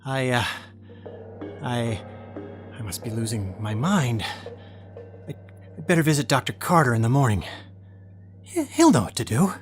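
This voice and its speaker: A man's voice: mid-range voice, very animated, moderate pace, long pauses, soft background music, close to an everyday voice. A man playing an exhausted, anxious married insurance salesman in a radio play, flustered and stammering. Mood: shaken. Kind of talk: mid-century radio play acting.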